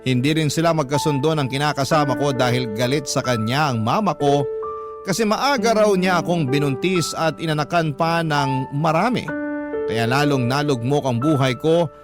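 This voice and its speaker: A man's voice: a little high, normal speed, lightly acted. A man acting a troubled parent narrating family woes as a letter in a radio drama. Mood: troubled, confiding. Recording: prominent music bed under voice.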